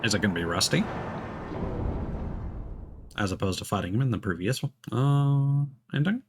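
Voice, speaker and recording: Male game streamer, casual let's play commentary over soft background game music. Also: fairly low pitch, animated, normal speed, slight background noise. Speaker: streamer playing a video game.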